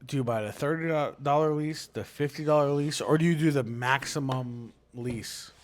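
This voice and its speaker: A man's voice: mid-range voice, moderate pace. A man hosting a casual podcast, talking in a loose, joking way and swearing freely. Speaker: podcast host.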